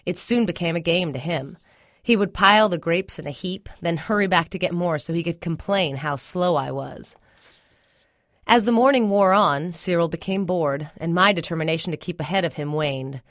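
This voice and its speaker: Low-voiced woman narrating a story. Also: moderate pace, a bit bright, no echo, studio quality. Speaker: story reader. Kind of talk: scripted first-person literary narration.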